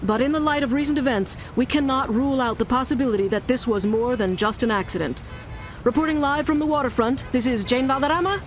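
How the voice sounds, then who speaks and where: A woman's voice: high-pitched, fast, natural voice. An actress playing an earnest female TV news reporter, speaking formally and seriously as in a live broadcast. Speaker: field reporter. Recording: faint outdoor background noise.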